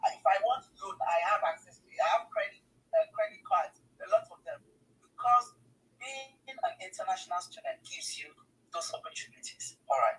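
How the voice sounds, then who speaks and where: Nigerian-accented woman, emphatic and wildly animated in pitch, heard from a distance over a call. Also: normal speed. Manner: emphatic, repeats words, addresses listeners directly. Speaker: guest sharing her migration story. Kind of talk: casual advice to international students.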